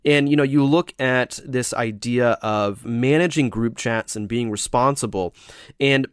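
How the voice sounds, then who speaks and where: A man's voice: mid-range voice, measured pace, clean tone, dry recording, clean studio sound. A man from the United States co-hosting a podcast, talking casually with many fillers and restarts. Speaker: podcast co-host. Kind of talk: casual chat.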